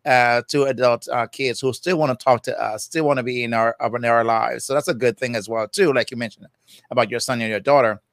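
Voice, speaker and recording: A man's voice: mid-range voice, steady tone, a little fast, fairly bright tone, no room sound. Older Jamaican man, therapist guest, chatty and easygoing, dry close-mic sound. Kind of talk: casual interview talk.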